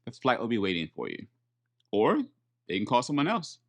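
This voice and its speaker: A man, an airline pilot, talking casually and explaining in detail, step by step, with halting phrasing. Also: medium pitch, huge pitch swings. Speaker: airline pilot, first officer.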